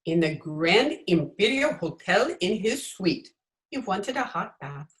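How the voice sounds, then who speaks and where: A woman's voice: on the low side, very animated, measured pace, a bit bright. A woman playing a vain, ambitious companion of a dictator, blunt and coquettish, in an old-time radio comedy. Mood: coy, curious. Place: home room, recorded over video call.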